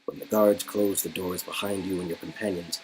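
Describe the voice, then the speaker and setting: A man's voice: sits low, steady tone, moderate pace, darkish tone, a little hiss or hum, poor audio. A man reading narration for an interactive story game in a casual way, on an amateur home recording.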